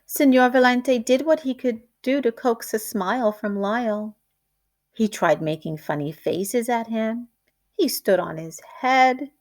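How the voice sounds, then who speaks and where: A woman reading a children's picture book aloud like a teacher, in a deliberate, expressive storytelling way. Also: a little high.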